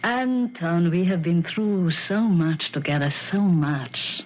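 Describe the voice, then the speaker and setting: An actress playing an aging, teasing and affectionate piano coach in a theatrical 1970s radio drama. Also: female voice, fairly low pitch, expressive, takes it slowly. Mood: tender, wry. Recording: dull lo-fi 1970s radio broadcast.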